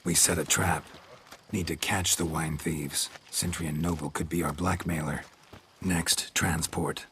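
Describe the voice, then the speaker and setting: An actor playing a gruff, cool-headed male monster hunter in a video game, speaking in clipped, unemotional phrases. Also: rumbling low, fairly even intonation, a little slow, a bit bright, slight background noise. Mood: calm, resolute. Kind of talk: understated video game acting.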